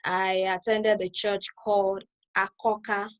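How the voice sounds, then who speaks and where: A woman's voice: mid-range voice, level tone, measured pace. A woman from Lagos with a Nigerian accent, being interviewed over a video call and explaining things conversationally, circling back to clarify. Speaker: interviewee, new church member. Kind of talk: conversational personal account.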